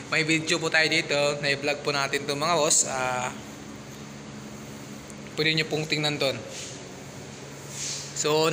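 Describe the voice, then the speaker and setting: A man with a slightly high voice narrates a casual tour of a warehouse under construction, recorded on a handheld phone. He chats loosely and keeps stopping on filler sounds. Background noise is clearly audible. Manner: chatty, many fillers, keeps addressing viewers as "boss". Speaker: construction vlogger. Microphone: handheld phone camera.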